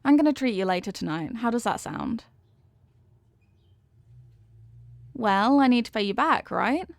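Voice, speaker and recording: A woman's voice: fairly high pitch, lively intonation, brisk, no room sound. A woman playing a sweet, devoted headless horsewoman girlfriend in an intimate ASMR roleplay, affectionate, playful and gushing.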